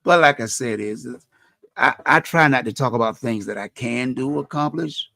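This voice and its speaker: A middle-aged man with a deep voice, hosting a community radio talk show and speaking earnestly about faith and helping others, often restarting phrases. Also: normal speed, gravelly, sharp tone, no room sound, clean studio sound. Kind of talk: reflective personal talk.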